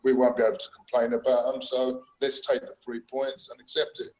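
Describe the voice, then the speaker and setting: A man's voice: mid-range voice, level tone, fairly quick, lo-fi sound, a crowd in the background. Hesitant man in an echoey room. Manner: hesitant, lots of uhs. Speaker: podcast panelist. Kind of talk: casual chat.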